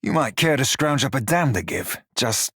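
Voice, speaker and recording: A man playing a roguish, good-hearted creature in a video game, talking in salty dialect with a rough, husky voice. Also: mid-range voice, expressive, normal speed, a bit bright, no echo, studio quality. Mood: casual, defensive. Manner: salty pirate dialect, colloquial. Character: roguish blue-furred psychic pirate, flirty, salty, good-hearted. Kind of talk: video game character dialogue.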